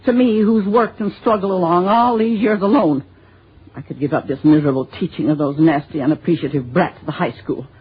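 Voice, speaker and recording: An American actress playing a bitter, greedy middle-aged spinster schoolteacher with shrill, spluttering indignation in a 1950s radio drama. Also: female voice, fairly even intonation, a little fast, breathy edge. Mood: indignant, bitter. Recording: dull, lo-fi old radio recording.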